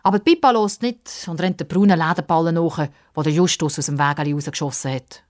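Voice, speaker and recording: A woman's voice: low-pitched, a little fast. A woman with a Swiss accent narrating a scripted children's story as storyteller.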